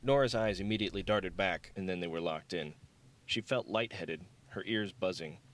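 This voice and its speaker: A man's voice: deep voice, moderate pace. A man reading scripted fiction as an audiobook narrator.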